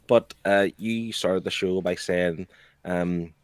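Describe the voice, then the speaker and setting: A man's voice: sits low, fairly even intonation, slow, clear, resonant tone, dry recording. A man giving his opinions as a panelist in a casual, blunt, conversational way. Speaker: comic book show panelist.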